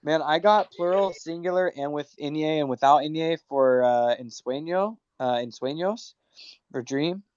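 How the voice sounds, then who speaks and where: A man hosting a relaxed online chat, his voice smooth and resonant. He rambles loosely with many fillers, recorded dry and a little dull. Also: a little high, moderate pace, dry recording. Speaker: host. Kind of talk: casual chat. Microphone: remote call audio. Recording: dull lo-fi online call audio.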